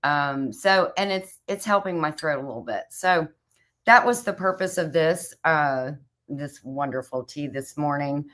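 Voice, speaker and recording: Lady hosting a livestream, low voice, kinda raspy today, chatty w lots of ums. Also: unhurried, a bit bright. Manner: chatty, greets viewers by name, many fillers. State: slightly raspy from a chill. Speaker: livestream host. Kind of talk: casual livestream chat.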